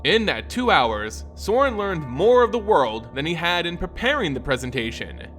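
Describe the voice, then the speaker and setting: Animated, high-pitched male story narrator over music. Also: moderate pace. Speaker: lore channel narrator. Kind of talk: dramatic scripted story narration. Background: background music.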